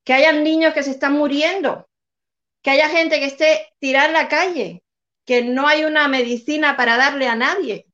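A woman's voice: high-pitched, fairly even intonation, moderate pace, a bit bright, dull audio. A young Cuban-born woman with a Spanish accent, speaking as a guest and pressing her points with impassioned repetition. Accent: Spain Spanish. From Cuba. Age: young adult. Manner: impassioned, repeats phrases for emphasis. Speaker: guest, civic group representative. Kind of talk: earnest advocacy in a live chat.

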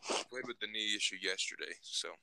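A man's voice: on the low side, quick pace, vocal fry, bright, forceful tone, no room sound, rough recording. A young man chatting casually about basketball, mostly giving short replies but firmly arguing his own picks. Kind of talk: casual sports chat.

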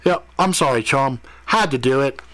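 A man's voice: mid-range voice, moderate pace, a bit bright, voice pushed up, lightly acted. A man voicing a matey, teasing, geeky male friend in a story reading. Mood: amused, teasing.

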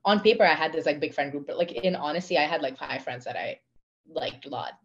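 A fairly low-voiced young woman with an Indian accent talks at length about herself in a podcast chat. She thinks aloud in long, winding sentences full of fillers. The audio sounds dull and lo-fi. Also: lively intonation, quick pace. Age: 20s. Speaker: guest. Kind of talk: casual conversation.

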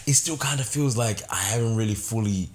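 A man's voice: on the low side, a little fast, crowd noise. A young man from Zimbabwe, a podcast guest telling stories in a casual, laughing way. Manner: animated, laughing storyteller. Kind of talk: casual chat.

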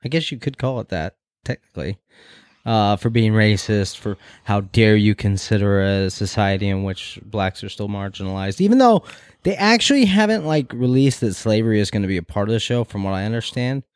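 A man's voice: fairly low pitch, animated, fairly quick, smooth, dry recording, studio quality. A man chatting casually as a podcast co-host, with a teasing, sarcastic manner. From New York City. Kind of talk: casual chat.

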